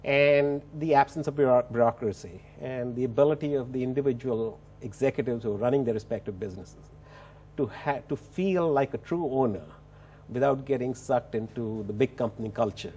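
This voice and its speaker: A man in his fifties answering in a television interview, halting and measured, often restarting his phrases, on a lapel mic in a studio. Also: mid-range voice, warm tone, a little hiss or hum. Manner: halting, measured, with repeated starts. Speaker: business executive being interviewed.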